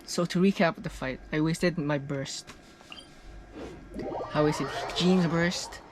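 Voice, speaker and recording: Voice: medium pitch, fairly even intonation, slow, background noise. A streamer giving casual game reaction commentary in short interjections, often echoing phrases. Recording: game music behind.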